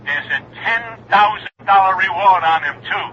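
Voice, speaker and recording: Excitable phone chatterbox, high and very bright, eager, repetitive, vintage radio sound. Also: male voice, normal speed. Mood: excited, urgent. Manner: eager, repetitive, barges on without listening. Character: excitable, chattering male insurance man on the phone. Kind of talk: old-time radio drama acting. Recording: thin, hissy old radio phone call.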